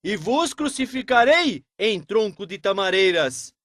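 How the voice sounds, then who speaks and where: An actor playing a haughty, mocking Pharaoh who threatens and shouts in an animated religious story. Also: male voice, high voice, unhurried, no echo, full character voice. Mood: menacing. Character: haughty, mocking, tyrannical Pharaoh, adult man. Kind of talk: dubbed cartoon religious story dialogue.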